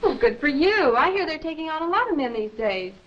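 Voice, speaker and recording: A woman's voice: high-pitched, sing-song, brisk. An actress playing a friendly, inquisitive townswoman making small talk in a 1940s film. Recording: muffled 1940s film soundtrack.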